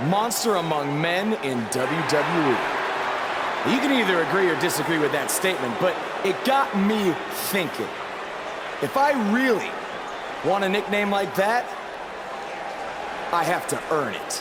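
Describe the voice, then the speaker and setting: An actor playing a defiant young pro wrestler in a video game, declaiming a deliberate promo over a loud arena crowd. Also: male voice, high-pitched, very noisy.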